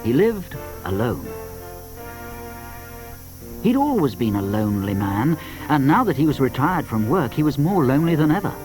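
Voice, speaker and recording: A man tells a children's story as its narrator. His mid-pitched voice moves expressively up and down with measured phrasing. Music plays clearly behind him. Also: big pitch swings, a little mellow.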